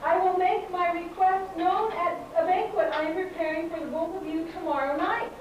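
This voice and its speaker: A woman's voice: extremely high pitch, fairly even intonation, moderate pace, dull audio. A woman playing a young queen in a puppet show, brave but hesitant, polite and theatrical. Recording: echoey, distant live stage recording, faint noise.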